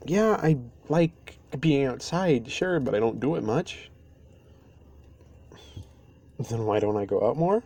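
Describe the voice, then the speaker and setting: A man playing a caring, easygoing best friend in an intimate roleplay audio, speaking softly and haltingly to the listener. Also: mid-range voice. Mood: playful. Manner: halting, unhurried, gentle. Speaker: amateur audio roleplay performer. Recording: steady ambient noise behind voice. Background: campfire ambience.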